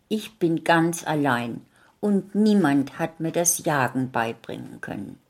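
A woman doing a gentle young wolf. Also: deep voice, lively intonation, slow pace, full character voice.

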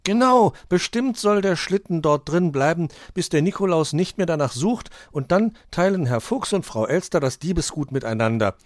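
A man's voice: a little high, animated, moderate pace, few pauses, no echo, studio quality, pitched above the performer's own voice, close to an everyday voice. A man voicing a whiny, pompous young animal boy in a children's story. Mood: eager. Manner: pompous, sulky, loud.

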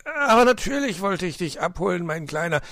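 A man's voice: high voice, very animated, brisk, fairly bright tone, dry recording, clean studio sound, pitched above the performer's own voice, full character voice. A man voicing a sly, work-shy uncle fox who talks glibly and patronisingly in a children's story. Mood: flustered, coaxing. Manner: glib, exclaiming, patronising.

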